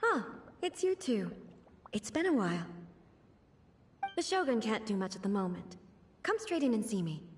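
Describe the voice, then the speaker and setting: An actress playing a calm, aloof female shogun deity in a video game, speaking in measured, formal, deliberate phrases. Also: medium pitch, a little hiss or hum, natural voice. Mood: aloof, composed. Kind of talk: scripted video game dialogue.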